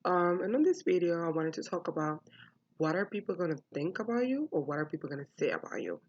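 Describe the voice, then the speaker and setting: A woman's voice: lowish voice, expressive, fast, lo-fi sound. A young adult woman from the United States talking bluntly and frankly to camera about her upbringing, swearing freely. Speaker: vlogger. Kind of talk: candid personal monologue.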